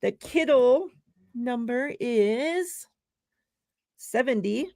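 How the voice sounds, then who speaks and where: Slow, gravelly woman hosting a sports podcast. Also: fairly high pitch, no echo, clean studio sound. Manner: laughs often, relaxed and drawn out while running giveaways. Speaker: podcast host. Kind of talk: casual sports podcast chat. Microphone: remote livestream call.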